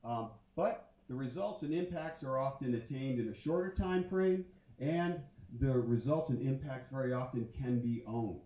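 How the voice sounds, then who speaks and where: Male speaker, 40s, stage talk, halting with fillers, distant muffled hall sound, mid pitch. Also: animated. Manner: conversational, many fillers and restarts. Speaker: conference speaker. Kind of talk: conference talk with slides. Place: large dark auditorium stage. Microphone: handheld mic.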